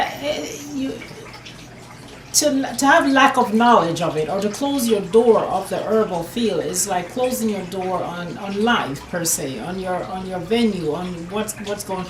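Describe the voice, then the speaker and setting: A woman's voice: mid-range voice, roomy sound, slight background noise, rough recording. A woman from Jamaica, an herbalist, answering interview questions earnestly, often saying "per se" and restarting her sentences. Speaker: herbalist and nutrition business owner.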